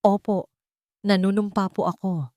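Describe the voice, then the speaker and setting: A woman voicing a gentle, resolute mother who speaks earnestly and politely. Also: medium pitch, steady tone, normal speed, no echo, studio quality, close to an everyday voice. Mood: firm.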